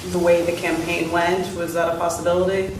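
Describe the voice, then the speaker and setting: A woman's voice: lowish voice, flat delivery, a little fast. A woman questioning a witness as a lawyer in a formal deposition. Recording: very echoey, noisy room, distant mic.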